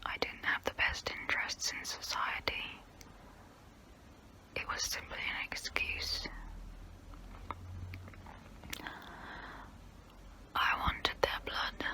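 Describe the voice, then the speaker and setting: A woman playing a tormented, confessional female vampire in an ASMR role play, whispering softly and breathily up close to the mic. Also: low-quality recording. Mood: guilty confession. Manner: slow, hushed, deliberate, pausing between phrases. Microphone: close-up microphone.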